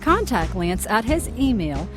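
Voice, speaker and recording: Lively female announcer over music. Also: mid-range voice, unhurried. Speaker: announcer reading end credits. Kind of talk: scripted credits narration.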